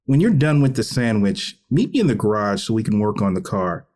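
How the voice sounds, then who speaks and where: A man's voice: fairly low pitch, sing-song, fairly quick, a little mellow. An actor playing a firm, loving middle-aged father in a naturalistic drama, speaking in a plain, instructive way. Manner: instructive, plain-spoken, colloquial. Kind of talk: naturalistic screen drama acting.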